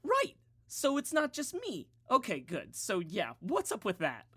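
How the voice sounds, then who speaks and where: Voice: medium pitch, wildly swooping pitch, measured pace, sharp tone, breathy edge, dry recording, exaggerated voice. An actor playing a quirky, dry, keen-eared stranger from the woods in a comedy audio drama. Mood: agreeable.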